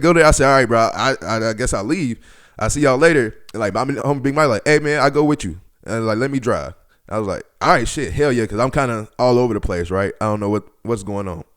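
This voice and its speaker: Young guy podcast host, smooth clear voice, loose slangy storytelling, swears, quotes people as he goes. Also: medium pitch. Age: 20s. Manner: slangy, profane, animated retelling with quoted dialogue. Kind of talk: casual storytelling podcast talk.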